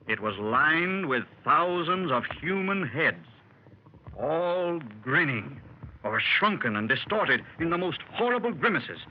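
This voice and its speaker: A bold old-time radio explorer. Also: male voice, a little high. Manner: dramatic storytelling, shouting orders in the action scene. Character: daring male explorer recounting a jungle escape. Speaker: club member telling his adventure. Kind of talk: 1930s radio drama acting. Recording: muffled 1930s radio recording with hiss.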